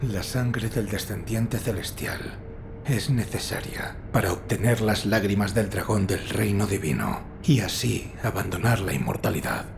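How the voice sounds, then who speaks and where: A man voicing a stoic, loyal shinobi retainer in a video game, terse and grave, with a gravelly voice. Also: on the low side, unhurried, noisy background. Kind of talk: dubbed video game cutscene acting.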